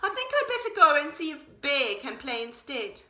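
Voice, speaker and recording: A woman voicing a sweet, timid young female deer in a storybook, with an anxious, expressive delivery. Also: high voice, normal speed, voice pushed up, exaggerated voice. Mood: apologetic, timid. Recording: roomy echo.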